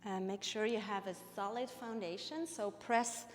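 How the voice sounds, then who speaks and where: The calm woman at the front of a big echoing yoga studio, Swiss German accent from Bern, cueing each pose and breath for a live class. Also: mid-range voice, moderate pace, faint music behind. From Switzerland. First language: Bernese Swiss German. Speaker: yoga instructor. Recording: long reverberant hall-like echo.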